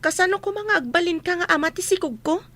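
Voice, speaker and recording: A touchy young woman in a comic radio drama. She protests indignantly in an extremely high, sharp voice. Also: animated, fast talker. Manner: shrill, protesting. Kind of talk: Ilocano comedy radio drama acting.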